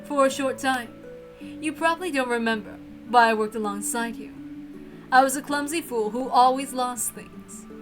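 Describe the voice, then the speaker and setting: A woman's voice: high voice, normal speed, fairly bright tone. A woman voicing a proud, gracious witch hostess in a visual novel reading, speaking in formal, stately phrases over music. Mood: warm, proud. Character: elegant, gracious witch hostess, grandly proud yet innocently friendly.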